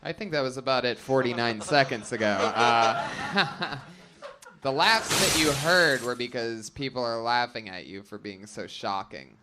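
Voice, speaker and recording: A man hosting a live comedy show, introducing comics and roasting them with quick, teasing jokes. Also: medium pitch, very noisy. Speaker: host.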